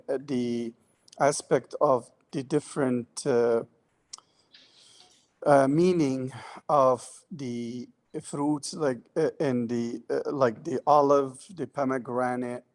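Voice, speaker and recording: Male artist, mid-pitched, slow and hesitant, lots of fillers. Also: darkish tone. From Lebanon and Sierra Leone. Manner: halting, full of filler sounds. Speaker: artist on a panel. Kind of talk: speaking at an art panel.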